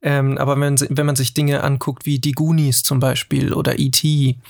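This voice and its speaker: A man's voice: medium pitch, little pitch movement, moderate pace, creaky, a little mellow, no room sound. A man in his forties in a casual panel discussion, talking with many hesitations and filler sounds. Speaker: podcast panelist.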